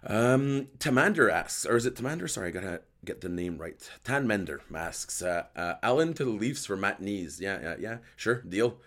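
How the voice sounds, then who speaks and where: A man with a hoarse voice hosting a casual live sports chat show, joking and thinking aloud as he answers viewers. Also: fairly low pitch, expressive, moderate pace, few pauses, fairly bright tone. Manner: joking, conversational, thinking aloud with repeated words. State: hoarse voice after a night outdoors. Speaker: host of a hockey post-game recap show.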